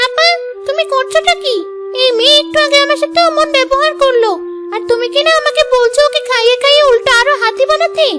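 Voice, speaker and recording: A woman voicing a snide, greedy, spiteful younger sister in a cartoon, with a squeaky delivery. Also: fairly even intonation, quick pace. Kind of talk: Bengali cartoon dub. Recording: music behind the voice.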